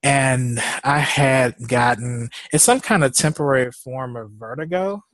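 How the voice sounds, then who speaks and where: Slow, laid-back congested man. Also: medium pitch, a bit bright, dry recording. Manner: laid-back, rambling, laughs often; slow, drawn-out talk. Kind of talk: casual chat.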